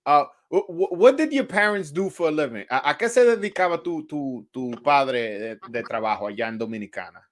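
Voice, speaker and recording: A man's voice: a little high, animated, a little fast, hardly any pauses. A young man hosting a casual live-stream interview, joking loosely, stammering and repeating words, switching into Spanish to translate for his guest. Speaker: host.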